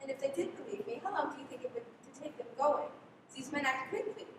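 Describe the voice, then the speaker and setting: An echoey, noisy livestream captures a high female voice from far off. A young woman plays a mysterious secret agent on the run, speaking with dramatic urgency and guarded secrecy in radio play style. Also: animated, fast. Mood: grave warning. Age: young adult. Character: mysterious, intense female foreign secret agent, hunted and mercenary. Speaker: high school drama student acting. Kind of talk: live radio play acting. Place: live school performance, voice through a mask.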